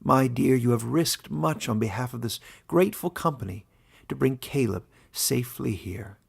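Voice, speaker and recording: Old male warden, acted by a male narrator, heavy vocal fry, husky, formal, kindly, playful, storybook fantasy elder. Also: mid-range voice, expressive, full character voice. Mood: solemn, welcoming. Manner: measured, formal, ceremonious.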